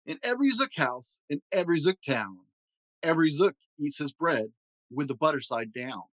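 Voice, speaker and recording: A proud, stern old grandfather from a storybook, as a man reads him aloud, lecturing in indignant rhyme. His voice is on the higher side and leaps about for emphasis, recorded close and dry. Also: animated, normal speed, fairly bright tone, dry recording. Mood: indignant, grave. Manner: emphatic, moralizing, rhyming speech.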